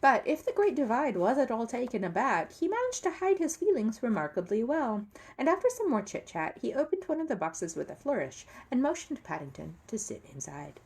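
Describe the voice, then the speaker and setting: A woman reading a children's story aloud as an expressive, animated narrator. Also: on the high side, fairly quick, breathy edge. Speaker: storybook reader.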